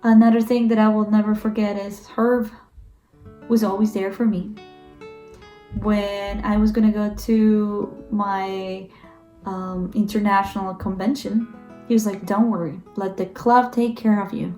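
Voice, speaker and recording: A woman's voice: medium pitch, steady tone, unhurried, dark tone. A woman from Ecuador, a Rotary exchange coordinator recording an earnest tribute message with some hesitations. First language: Spanish. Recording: roomy echo with faint guitar.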